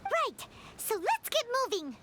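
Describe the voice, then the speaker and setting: A woman voicing a tiny, childlike female sidekick creature in a video game, squeaky, eager and inquisitive. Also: wildly swooping pitch, unhurried, a bit bright, slight background noise, exaggerated voice. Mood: eager, surprised. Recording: game music underneath.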